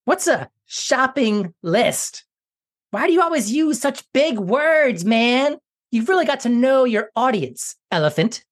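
A woman voicing an excitable, childish young male monkey in a children's cartoon, giddy and whiny. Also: on the high side, unhurried. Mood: cheeky. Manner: giddy, whiny, easily distracted.

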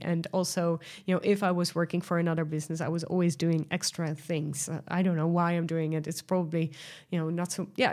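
A woman's voice: on the low side, a little mellow. Chatty Dutch-accented woman hosting. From Netherlands. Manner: chatty and enthusiastic, many fillers and restarts. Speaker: podcast host and sales coach. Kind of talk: solo podcast talk.

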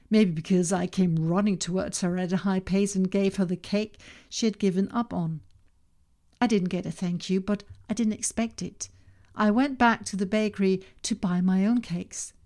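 A woman whose first language is Danish, reading her own self-help podcast in a calm, measured way with slow emphasis on key words. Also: mid-range voice. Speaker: podcast host and author. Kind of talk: scripted self-help narration.

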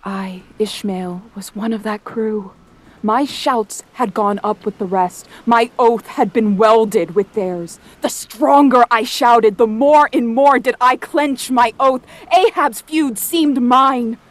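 A woman playing a haunted sailor who narrates a whaling voyage in a measured, literary way, in an audio drama. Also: fairly high pitch. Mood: solemn, haunted. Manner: measured, solemn, literary storytelling.

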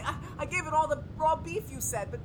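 A woman's voice: sits high, brisk, slight background noise, close to an everyday voice. A woman playing a frightened, uneducated lab assistant in an old-time radio horror play, stammering and pleading in folksy, ungrammatical speech. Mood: distressed, urgent.

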